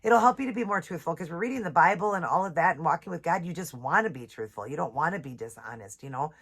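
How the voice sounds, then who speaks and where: Low-voiced woman, stuffed up from a cold. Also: animated. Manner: chatty, reads scripture slowly, apologizes often. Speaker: nail and devotional vlogger. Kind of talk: casual chat with devotional reading. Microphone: ring light setup, camera close.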